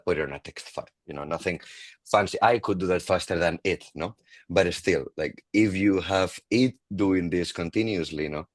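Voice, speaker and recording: A man's voice: deep voice, no echo. A man on a community video call, explaining a new tech tool casually with many fillers and a habit of ending points with "no?". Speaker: product manager on community call.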